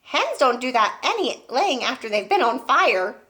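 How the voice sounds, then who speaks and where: A woman voicing a grumpy, suspicious old grandmother who yells and scolds. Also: sits high, big pitch swings, a little slow, full character voice. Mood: scornful. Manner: yelling, scolding.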